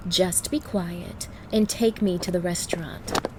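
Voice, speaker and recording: A woman's voice: lowish voice, fairly even intonation, a little slow, heavy background noise, close to an everyday voice. A woman playing a haughty, demanding young gold digger on a date, scolding and condescending in an audio roleplay. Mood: annoyed, scolding. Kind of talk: ASMR-style dramatic roleplay.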